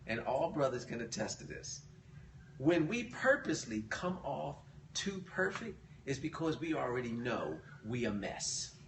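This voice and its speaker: A man co-hosting a casual book discussion, joking and acting out the characters in an animated way. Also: mid-range voice, unhurried. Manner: animated, jokey storyteller who acts out the characters. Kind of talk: casual chat. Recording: echoey room, distant mic, noisy.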